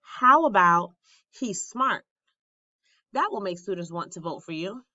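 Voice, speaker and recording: A woman's voice: medium pitch, animated, unhurried, bright, forceful tone, dry recording, muffled recording. A woman reading the part of an eager, loyal third-grade boy in a storybook, emphatic and enthusiastic. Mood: encouraging. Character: eager, loyal third-grade boy, supportive classmate who loves art.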